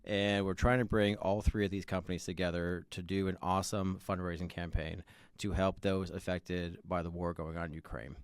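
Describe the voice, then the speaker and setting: A man's voice: low voice, little pitch movement, moderate pace, clear, resonant tone, no room sound. A man hosting a company podcast, speaking with enthusiasm and often repeating his words. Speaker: podcast host.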